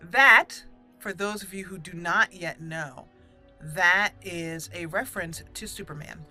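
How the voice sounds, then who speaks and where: A woman's voice: on the low side, takes it slowly, long pauses, sharp tone, faint music behind. A woman hosting a game playthrough with casual commentary, chatting and thinking aloud as she goes. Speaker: gaming channel host. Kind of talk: casual let's play commentary.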